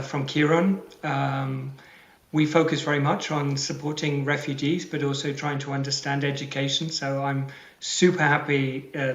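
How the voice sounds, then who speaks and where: A man joining an informal video-call panel, relaxed and joking. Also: fairly high pitch, echoey room, highs cut off. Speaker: panel co-host. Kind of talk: casual online panel talk.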